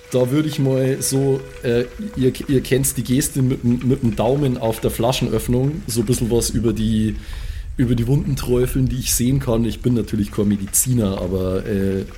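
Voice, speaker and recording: A man's voice: mid-range voice, dark tone, a little hiss or hum. A man improvising as a player in a casual tabletop roleplaying game, hesitantly groping for words. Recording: music behind the voice. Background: background music.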